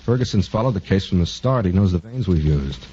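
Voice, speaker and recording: An actor playing a wry, persuasive senior hospital doctor in a 1940s radio drama. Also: male voice, deep voice, lively intonation, fairly quick, dark tone, slightly rough. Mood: insistent. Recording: dull, noisy 1940s radio recording.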